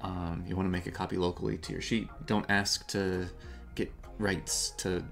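A man in his thirties co-hosting a casual gaming video from a small home room, explaining things in a relaxed way with lots of fillers. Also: low voice, normal speed, gravelly, faint music behind. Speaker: co-host.

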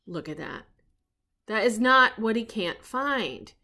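A woman's voice: fairly high pitch, animated, takes it slowly, sharp tone. A middle-aged woman reading a children's story aloud expressively, with chatty asides to young listeners. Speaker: grandmother reading stories on her own show. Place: living room at home.

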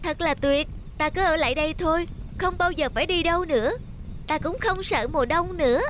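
A woman voicing a vain, lazy young swan in an animated fairy tale, exclamatory and childlike. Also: sits high, a little fast, a little hiss or hum. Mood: delighted, carefree. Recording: loud music behind the voice.

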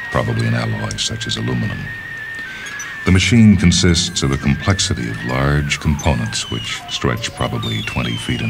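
A man with an extremely low voice narrates a short story. His delivery is measured and deliberate, set out phrase by phrase, with a gravelly fry in the tone. Music plays clearly behind him. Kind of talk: scripted literary narration.

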